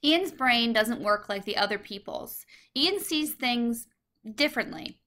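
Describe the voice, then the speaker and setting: A woman reading a children's story aloud as scripted narration, with expressive, clear phrasing. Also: fairly high pitch, measured pace. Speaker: storybook reader.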